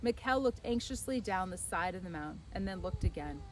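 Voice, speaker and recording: A woman narrating a children's novel aloud in an expressive storytelling style. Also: lowish voice, brisk, slight background noise, poor audio. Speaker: storybook reader.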